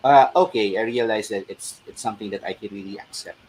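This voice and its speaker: A man's voice: fairly low pitch, quick pace, a little mellow, slight background noise, poor audio. A man in an improv workshop, hesitantly describing how he followed his scene partner. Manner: hesitant, repeats words. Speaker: workshop participant. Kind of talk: casual reflection.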